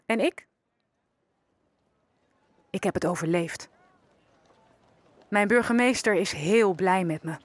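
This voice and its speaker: An actress playing a wry, knowing enslaved woman who survived, telling her life story in a measured way for an audio drama. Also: medium pitch, no echo. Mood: quiet, solemn. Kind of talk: dramatised first-person narration in an audio drama.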